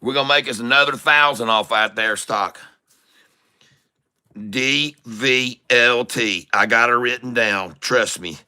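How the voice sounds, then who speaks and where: A man with a very bright, sharp voice and a thick Southern US drawl. He plays up the folksy country-boy talk, boasting and cussing casually like a livestream host hyping up his followers. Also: on the low side, clean tone. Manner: chuckles often, swears casually. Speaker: livestream stock trading host. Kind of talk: casual livestream chat, hype trading commentary.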